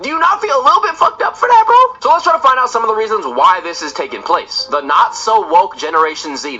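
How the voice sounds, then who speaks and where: A man's voice: a little high, bright, forceful tone, husky, muffled recording. A young man in an earnest commentary video, explaining his views and reading online posts aloud.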